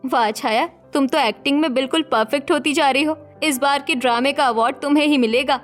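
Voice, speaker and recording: Woman acting sensible elder sister, cartoon drama, high pitch, admonishing, protective, faint music. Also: brisk, lightly acted. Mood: sharp, scheming. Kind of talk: Hindi cartoon story dialogue acting.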